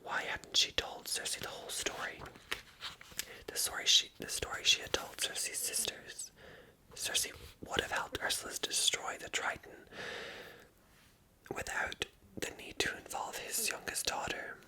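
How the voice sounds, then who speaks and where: A woman reading a storybook aloud in a breathy, intimate ASMR whisper, with soft, informal delivery. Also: measured pace, low-quality recording.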